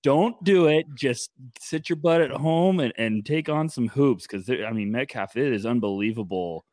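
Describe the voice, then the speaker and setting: A man co-hosting a sports podcast, chatting with energy and rambling through restarts. His voice sits at a middle pitch. It is recorded close, with a dry, dead sound. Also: expressive, normal speed, darkish tone, no echo. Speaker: podcast co-host. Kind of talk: casual sports podcast chat.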